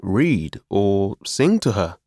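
A man's voice: on the low side, very animated, slow, darkish tone, no echo, studio quality, exaggerated voice. A man voicing a stubborn, tired middle-aged father, critical but caring, in an audiobook story. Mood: advising. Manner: weary, firm, matter-of-fact.

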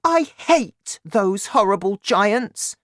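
A woman voicing an excitable, determined little girl in a children's story, speaking in an eager, dramatic way. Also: fairly high pitch, takes it slowly, dry recording, clean studio sound, voice pushed up, full character voice. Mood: upset.

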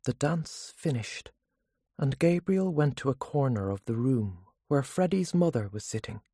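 A storyteller reading scripted fiction as an audiobook narrator, in measured, carefully phrased sentences. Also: medium pitch, animated, mellow, slightly rough, no room sound, clean studio sound.

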